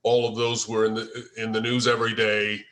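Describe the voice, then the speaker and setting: A man's voice: lowish voice, monotone, fairly quick, a bit bright. An American man who speaks hesitantly in an informal video-call discussion, often searching for his words as he talks about his work as a writer. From United States. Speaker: screenwriter and first-time filmmaker. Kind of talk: informal discussion after a table read. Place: online group video call.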